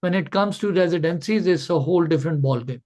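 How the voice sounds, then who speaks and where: A man's voice: fairly high pitch, fast, darkish tone. A man coaching callers in an informal online Q&A, blunt and emphatic, often repeating words to drive a point home. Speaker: coach hosting a Q&A session.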